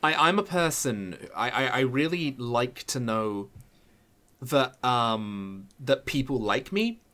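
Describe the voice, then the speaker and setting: Man YouTuber, casual chat; mid-pitched, candid, excitable, swears a lot. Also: slow.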